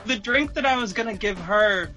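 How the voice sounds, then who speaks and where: A man telling a personal story as a podcast guest in a casual, animated, profane, slangy way. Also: high voice, a little slow.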